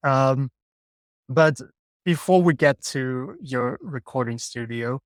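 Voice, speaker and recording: A man's voice: mid-range voice, slow pace, dry recording, clean studio sound. A man hosting a casual interview podcast, asking questions with many ums and restarts. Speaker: podcast host.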